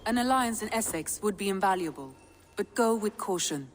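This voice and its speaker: A woman playing a cautious, shrewd counsellor in a game cutscene, speaking in measured, formal lines. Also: mid-range voice, lively intonation, big-room sound, a little hiss or hum, natural voice. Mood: cautioning. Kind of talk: dramatic game cutscene acting.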